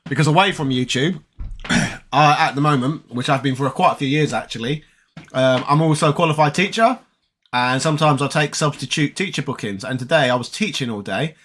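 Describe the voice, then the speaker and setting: A British man with a tired, raspy voice, chatting casually on a live stream and reading viewer comments aloud. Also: mid-range voice, a little fast, a bit bright. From Britain. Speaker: retro gaming streamer.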